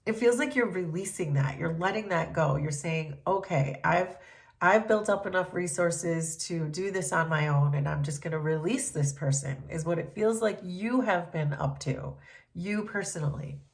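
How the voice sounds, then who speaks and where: A woman's voice: on the low side, gravelly. Congested woman giving a tarot reading. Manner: gentle, thoughtful, many ums and pauses. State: congested from a cold. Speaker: tarot reader.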